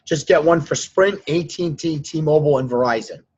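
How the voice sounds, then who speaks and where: Hoarse man, husky strained voice, slightly high, blunt lecturing coach, dull lo-fi call audio. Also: moderate pace, a little mellow. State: hoarse from laryngitis. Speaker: course teacher hosting a live group call. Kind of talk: casual coaching chat. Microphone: online call. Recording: dull lo-fi sound.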